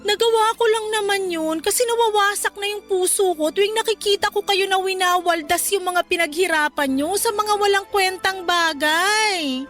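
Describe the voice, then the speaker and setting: A woman's voice: very high-pitched, moderate pace, a bit bright, faint music behind. A woman playing a devoted, worried young grown-up child in a radio drama, earnest, respectful and emotional. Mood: pleading, tender. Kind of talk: Tagalog radio drama acting.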